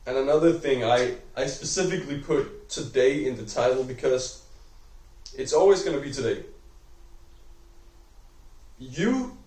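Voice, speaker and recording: A young man with a Danish accent talks unscripted to a camera, rambling and filling every pause with "like" while he corrects himself. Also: medium pitch, lively intonation, darkish tone, recorded from a distance. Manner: rambling, heavy use of "like", self-correcting. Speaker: vlogger. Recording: echoey room, voice off-mic.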